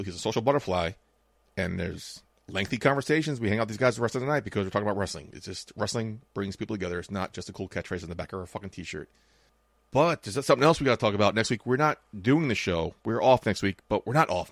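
A man's voice: fairly low pitch, creaky, no echo. A man in his late thirties co-hosting a podcast, telling a story in casual chat, swearing freely and acting out the quoted lines. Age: 30s. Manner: swears freely, tells anecdotes with quoted dialogue. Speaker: podcast co-host.

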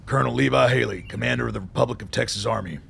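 Man acting a seasoned colonel, heavy vocal fry, courteous, steady, radio drama, faint rumbly noise. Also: racing. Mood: brisk, commanding. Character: seasoned, plain-spoken male army colonel, courteous and steady.

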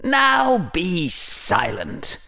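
A man voicing a young male who poses as a cold, commanding dark lord in an audiobook, curt and hissing. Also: high-pitched, huge pitch swings, very slow, vocal fry, no room sound, voice pushed up, exaggerated voice. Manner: curt, imperious. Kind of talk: dramatized audiobook acting.

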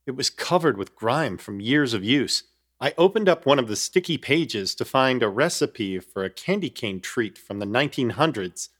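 A man's voice: mid-range voice, a bit bright. An actor playing an uneasy apartment hunter who narrates his ordeal in florid, wry scripted storytelling for a horror comedy radio play. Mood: wry, puzzled.

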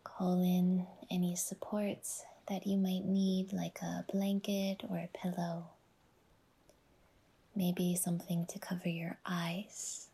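A woman leads a guided meditation in a soft, breathy near-whisper. Her delivery is very slow and soothing, with long pauses. The pitch stays flat and even, settling gently from phrase to phrase. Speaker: yoga nidra guide and podcast host.

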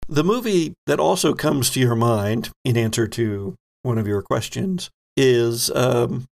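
A man with a gravelly voice narrating a tabletop game as game master, describing scenes playfully and off the cuff. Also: medium pitch, animated, unhurried. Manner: descriptive, playful storytelling with pop-culture asides. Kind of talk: improvised narration of a tabletop horror-comedy game.

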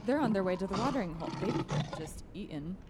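An anxious wife and mother from a radio play acted by a woman, fretful and pleading. Her voice is on the low side for a woman and rings with a hall-like reverb. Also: animated, fast, very noisy, lightly acted. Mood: uneasy. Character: anxious wife and mother, uneasy and easily frightened.

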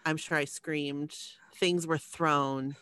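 Slow-talking, low-voiced woman in her forties. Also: smooth, no echo. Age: 40s. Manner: animated, emphatic storytelling with laughs. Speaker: podcast co-host guest. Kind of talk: casual interview chat.

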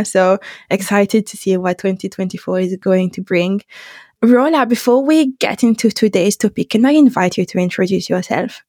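A woman's voice: medium pitch, a little mellow, dry recording, studio quality. A woman hosting a podcast in an upbeat, encouraging, conversational way. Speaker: podcast host.

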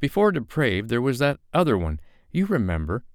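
A man's voice: medium pitch, huge pitch swings, moderate pace, no echo, clean studio sound, pitched above the performer's own voice. A man voicing a haughty, catty woman in an audiobook, irritated and scornful in manner. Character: haughty, catty statuesque woman, sharp and dismissive.

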